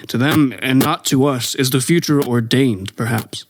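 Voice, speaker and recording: A man playing a solemn, reflective professor who survived an invasion, narrating his memoir in a measured radio-drama style. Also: medium pitch, no room sound, lightly acted. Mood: wistful, reflective.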